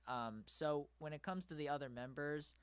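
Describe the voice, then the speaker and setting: A man's voice: on the high side, normal speed, smooth, no echo. A young man hosting an interview, asking questions and chatting loosely with frequent "like" and "I mean". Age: young adult. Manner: chatty, uses "like" often. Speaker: interviewer, host. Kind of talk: casual interview conversation.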